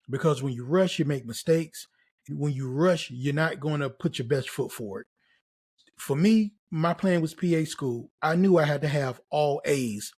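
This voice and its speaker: Higher-pitched American man giving encouraging advice. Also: a little mellow, breathy edge. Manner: encouraging, emphatic, repeats key phrases. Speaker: host, nursing career mentor. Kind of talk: casual motivational advice to camera.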